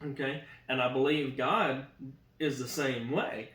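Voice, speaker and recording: A man's voice: mid-range voice, steady tone, a little slow, fairly bright tone, echoey room. A man talking casually with his wife about faith and marriage, earnest and preachy, often repeating himself. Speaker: co-host, husband.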